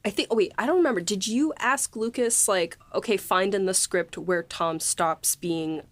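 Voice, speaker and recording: A woman hosting a casual behind-the-scenes podcast chat, speaking effusively and quick to laugh. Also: mid-range voice. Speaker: podcast host and audio drama writer.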